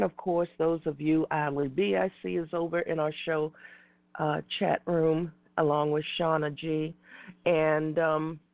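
Raspy woman host on a tinny phone line. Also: deep voice, no echo. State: hoarse from a cold. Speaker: radio show host. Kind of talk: internet radio talk show.

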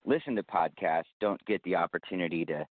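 A man's voice: sits low, a little fast. A man being interviewed in a casual conversation, talking earnestly and with enthusiasm about the work, over a phone call. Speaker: podcast guest, bookseller and podcast producer. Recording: roomless tinny phone line.

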